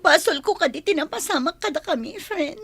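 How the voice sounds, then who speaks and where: A woman's voice: very high-pitched, lively intonation, fairly quick, gravelly, fairly bright tone, full character voice. A woman with a Filipino accent acting a hurt, nagging, thrifty girlfriend in an emotional, scolding radio drama style. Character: Bianca, hurt, nagging, thrifty girlfriend confronting her boyfriend.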